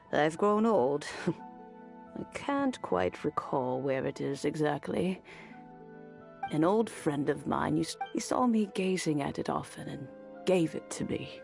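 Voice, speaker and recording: Game role: gentle, wistful elderly woman, lowish creaky voice, rambling and kindly. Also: heavily acted. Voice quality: creaky, aged. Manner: slow, gentle, reminiscing. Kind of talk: video game voice acting.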